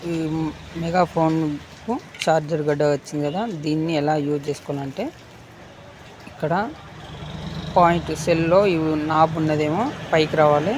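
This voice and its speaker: Man demonstrating a product, noisy surroundings. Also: fairly high pitch. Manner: instructional, step-by-step, repetitive phrasing. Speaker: product unboxing presenter.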